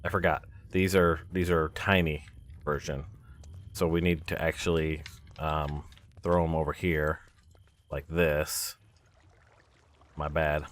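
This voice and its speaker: Low-pitched man talking through gameplay. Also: fairly even intonation, measured pace, long pauses. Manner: thinking aloud, talking himself through steps. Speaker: gaming video host. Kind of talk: casual let's-play commentary.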